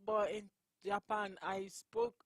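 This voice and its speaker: A young woman from Nigeria with a Nigerian accent, whose first language is Igbo, answering interview questions in an earnest, unhurried way and laughing often. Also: medium pitch, flat delivery, no room sound, clean studio sound. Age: young adult. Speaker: college basketball player.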